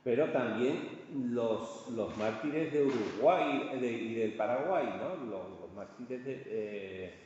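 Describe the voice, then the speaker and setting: A man with an accent from Spain gives an informal art history lecture, halting and conversational, often checking in with his listeners. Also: fairly low pitch, a little mellow. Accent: Spanish from Spain. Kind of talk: informal illustrated lecture to an audience. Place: large reverberant indoor room. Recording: echoey hall, distant muffled sound, faint noise.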